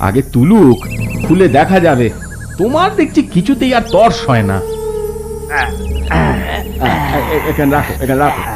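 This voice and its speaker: An actor playing an eager, bossy middle-aged village husband in a cartoon, with an excitable way of repeating his phrases. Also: fairly high pitch, a little fast, over loud music. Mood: excited, anxious. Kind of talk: cartoon voice acting.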